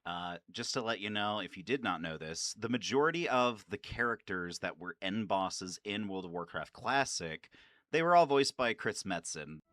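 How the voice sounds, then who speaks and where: A man's voice: lowish voice, expressive, moderate pace, a bit bright, dry recording, clean studio sound. A man giving casual, nerdy, enthusiastic fan commentary about games, swearing freely as he goes. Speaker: video creator and gamer.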